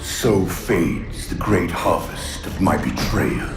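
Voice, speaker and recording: An actor playing an ancient, vengeful alien warlord in a video game, grandiose and contemptuous, delivering pompous, theatrical pronouncements. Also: male voice, low voice, takes it slowly, recorded from a distance. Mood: bitter contempt. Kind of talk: dramatic villain monologue in a video game. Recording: cavernous echo from afar, orchestral music behind.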